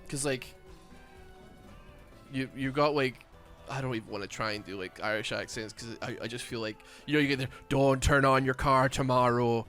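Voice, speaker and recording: A man's voice: mid-range voice, fairly quick, long pauses, a bit bright, faint music behind. A Scottish man with a Glaswegian accent and a lisp, mumbling and rambling in casual chat while streaming a game. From Scotland. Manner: mumbling, rambling with many fillers.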